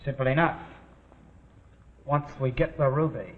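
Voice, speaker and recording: An actor playing a jumpy male thief with rough working-class dialect, in 1940s television suspense style. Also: medium pitch, fairly even intonation, a little slow, roomy sound, long reverb tail, natural voice. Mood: doubtful. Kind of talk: 1940s live television suspense drama acting. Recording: tinny old TV soundtrack, music behind.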